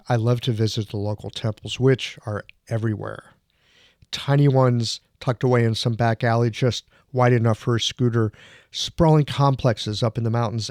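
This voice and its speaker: A man's voice: mid-range voice, darkish tone, no room sound, studio quality. A man hosting a podcast, telling a reflective story in a wry, scripted narration. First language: English. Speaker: podcast host.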